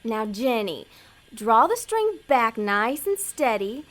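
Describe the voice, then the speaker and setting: Actress as female demon con artist, high pitch, very animated delivery, bossy, sarcastic, impatient, comedy audio play. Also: heavily acted. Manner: brisk, sarcastic, commanding. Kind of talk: audio comedy drama acting.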